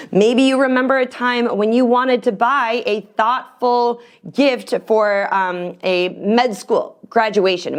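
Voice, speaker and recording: A middle-aged woman presenting an instructional talk with anecdotes, speaking emphatically with deliberate pauses on key words. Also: fairly high pitch. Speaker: storytelling coach and presenter.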